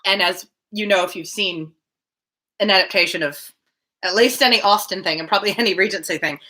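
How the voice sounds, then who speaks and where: A woman's voice: mid-range voice, brisk, sharp tone. A woman reading a novel aloud live, with casual asides. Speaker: livestream book reader.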